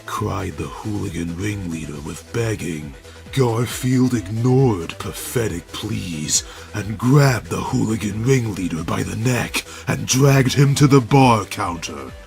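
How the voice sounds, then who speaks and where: Male narrator, low and gravelly, measured and stilted, reading a mock-serious action tale with slow pacing. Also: lively intonation, a little mellow, soft background music. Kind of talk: scripted dramatic story narration.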